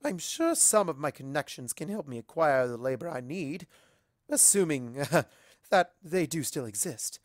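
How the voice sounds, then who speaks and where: Cartoon fashionista pony, female, bossy and dramatic played by a man; lifted, very lively pitch, close dry sound. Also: a little slow, dry recording. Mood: polite, gracious. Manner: dramatic, imperious. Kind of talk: cartoon fan fiction character reading.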